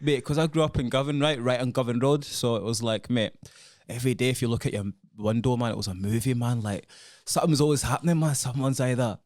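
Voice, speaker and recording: A man's voice: mid-range voice, fairly even intonation, quick pace, a little mellow, dry recording, studio quality. Laid-back Glaswegian young man. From Scotland, born in Congo. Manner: laid-back, frank, peppers talk with "man" and "mate". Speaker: guest. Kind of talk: casual chat. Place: podcast studio.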